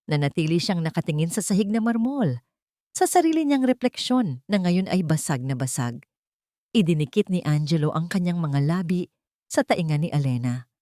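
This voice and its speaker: A woman narrating a dramatic love story in a measured, solemn way. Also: lowish voice, dark tone, dry recording, clean studio sound.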